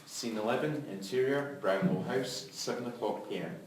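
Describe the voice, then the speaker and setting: A man with a medium-pitched voice reads stage directions in a clipped, announcing way. The room is very echoey, with faint noise, and he sits back from the mic. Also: moderate pace, distant mic. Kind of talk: scripted narration for a drama reading.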